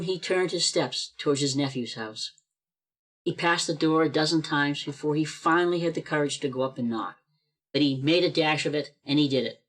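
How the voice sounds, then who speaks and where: Narrator, steady expressive storybook reading, video call. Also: medium pitch. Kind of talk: storybook narration of a radio play.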